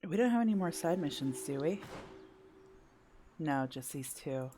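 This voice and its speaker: Low-pitched, animated woman gamer. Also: moderate pace, slight background noise. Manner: musing, asking herself questions. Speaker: gameplay host. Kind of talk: casual blind-playthrough commentary, thinking aloud.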